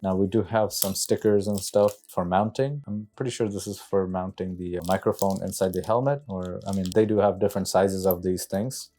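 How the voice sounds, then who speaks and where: Low, mellow-voiced man casually unboxing gear. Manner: tentative, thinking aloud while handling parts. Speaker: product reviewer, motorcycle rider. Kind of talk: casual unboxing walkthrough, talking to viewers.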